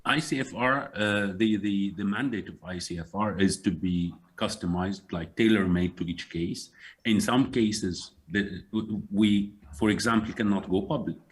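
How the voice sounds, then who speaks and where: An earnest man speaks in a measured way, often pausing to find his words. His voice sits fairly low and has a slight husky grain. He sounds like a guest in a panel conversation. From Syria.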